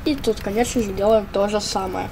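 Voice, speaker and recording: A woman's voice: mid-range voice, steady tone, brisk. A woman giving casual commentary over her own gameplay video, chatty and joking with ironic asides. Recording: loud noise under the voice.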